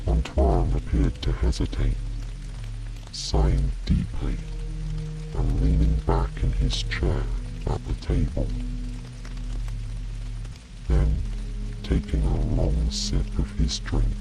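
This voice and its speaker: A man gently and soothingly narrating a calm bedtime story in an unhurried, soft voice. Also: poor audio. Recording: rain and music behind. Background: steady rain, soft music.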